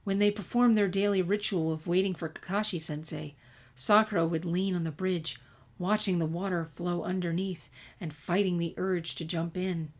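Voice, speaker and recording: Lower-pitched woman reading a story. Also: steady tone, normal speed. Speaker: fan fiction reader. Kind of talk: scripted story narration.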